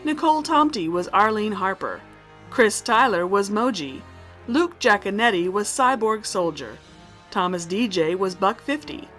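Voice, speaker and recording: A woman's voice: medium pitch, animated, measured pace, fairly bright tone, soft background music. A woman reading scripted closing credits as the announcer of an audio drama.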